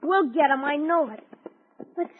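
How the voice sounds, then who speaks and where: A woman's voice: high-pitched, unhurried. An American woman playing an earnest, hopeful young ranch boy in a radio western, eager in manner. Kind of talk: 1950s radio serial acting. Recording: tinny, noisy old radio recording.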